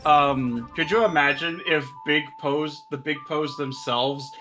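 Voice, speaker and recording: Guy with a bright edgy voice doing chill race commentary, nerdy logic talk and little jokes. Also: a little high, soft background music, rough recording. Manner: jokey, analytical, quick asides. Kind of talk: casual live race commentary.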